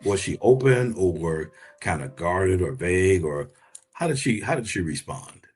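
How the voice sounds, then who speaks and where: Male podcast host, low raspy voice, pitch moves around a lot, rewords his questions. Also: lively intonation, unhurried, a little mellow, soft background music. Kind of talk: conversational interview.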